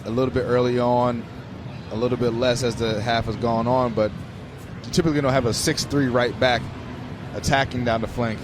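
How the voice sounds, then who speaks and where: A man's voice: mid-range voice, steady tone, fairly quick. A man giving live analysis as the color commentator on a soccer broadcast at an open-air stadium. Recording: noisy outdoor stadium ambience. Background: loud stadium ambience.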